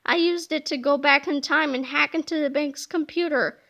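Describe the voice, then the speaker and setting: A smug know-it-all boy genius from a storybook, put on by a woman reading aloud. His tone is bright and pleased with itself. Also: high-pitched, normal speed, vocal fry. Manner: smug and self-satisfied.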